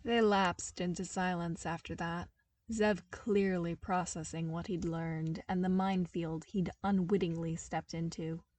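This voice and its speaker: A woman's voice: fairly low pitch, fairly even intonation, measured pace, a little mellow, no room sound, recorded from a distance. A woman reading a story aloud as scripted narration for a podfic. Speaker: podfic reader. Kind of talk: scripted fiction narration with character dialogue.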